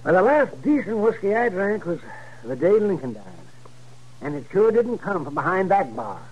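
An American actor playing a grumbling, crotchety old frontier town doctor in a 1950s radio Western. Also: male voice, high-pitched, very animated, moderate pace, lo-fi sound. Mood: wry, chatty. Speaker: supporting actor. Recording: faintly noisy 1950s radio recording.

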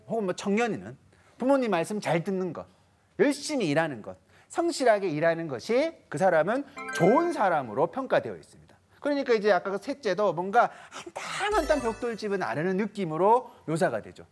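Man in his thirties, animated stage lecture, big swooping pitch, light music bed. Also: fairly quick. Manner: animated, acts out little quoted voices. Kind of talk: lively lecture to a studio audience. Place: large TV studio stage with audience.

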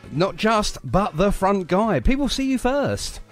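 Lively higher-pitched man hosting radio. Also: unhurried, soft background music. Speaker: radio show host. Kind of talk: chatty music radio interview.

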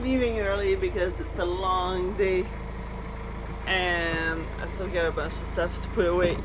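A woman's voice: on the low side, steady tone, measured pace, noisy background. A woman in her thirties, a mother, chatting casually to the camera while sailing, slipping between English and French. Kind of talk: casual vlog narration. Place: on a sailboat outdoors.

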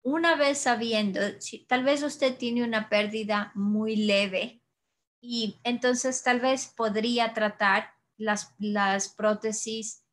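A woman in her thirties, an audiologist, answering audience questions in a webinar over a video call, calm and explanatory with frequent restarts. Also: a little high, slow pace. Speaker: audiologist presenter. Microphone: webcam video call.

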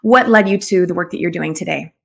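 A woman's voice: lowish voice, brisk, vocal fry, a bit bright, slightly rough. A middle-aged woman hosting an interview show, introducing and questioning her guest. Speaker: talk show host. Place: small room at home, indoors. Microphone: desk microphone on video call.

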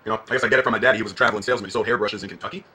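Southern gas attendant, male actor: folksy joker, rambling at great speed, bright edge, some noise on the recording. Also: lowish voice, steady tone, very fast. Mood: joking, folksy. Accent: put-on American Southern. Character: drawling Southern gas station attendant, restless, joking, folksy man. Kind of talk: dramatic scene acting.

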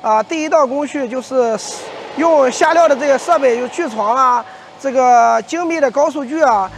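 A man's voice: extremely high pitch, moderate pace, vocal fry, a little hiss or hum. A middle-aged man interviewed on a factory floor, explaining production in a hesitant way with many fillers. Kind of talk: on-site interview. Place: large factory hall, indoors.

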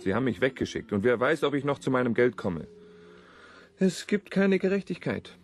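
A man's voice: medium pitch, very animated, normal speed, faint music behind. An actor playing an aggrieved, curious young man in a radio play. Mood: grumbling. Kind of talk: radio drama acting.

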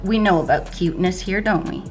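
A woman's voice: fairly low pitch, fairly quick, faint music behind, low-quality recording. A woman in her thirties chatting casually through a cooking demo in her home kitchen, bubbly and playful. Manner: bubbly, playful, gasps and laughs often. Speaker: fitness cooking vlogger.

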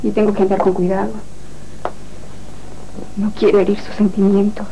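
A woman's voice: mid-range voice, level tone, moderate pace, dark tone, lightly acted. A woman playing a worried young woman in a 1980s telenovela. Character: worried young woman fearful of a suitor's love. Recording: noisy old TV broadcast recording.

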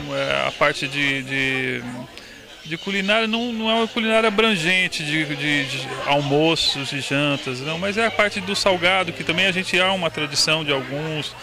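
A middle-aged man answers a reporter outdoors at a tented fair, halting and restarting as he searches for words. His voice sits fairly high. The reporter's handheld mic picks him up at close range. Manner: hesitant, restarting, many fillers. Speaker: town official. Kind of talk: interview answers. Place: outdoor fair under tents.

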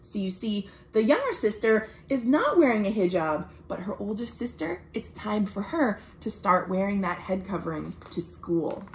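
A woman, reading a children's picture book aloud in an expressive, deliberate way as a storytime host. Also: medium pitch. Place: small room at home, indoors.

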